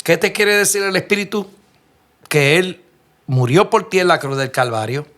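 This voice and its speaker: A man's voice: sits high, moderate pace, fairly bright tone. A man with a Puerto Rican accent preaching a sermon, telling stories earnestly and asking rhetorical questions. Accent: Puerto Rican Spanish. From Puerto Rico. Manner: earnest storytelling, frequent restarts and rhetorical questions.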